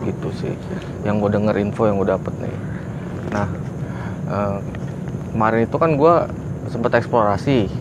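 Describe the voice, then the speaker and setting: A man's voice: fairly low pitch, moderate pace, long pauses, heavy background noise. A man co-hosting a ghost-hunting vlog, chatting casually on location at an abandoned factory at night. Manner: relaxed, agreeable, lots of fillers. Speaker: co-host of a ghost-hunting channel.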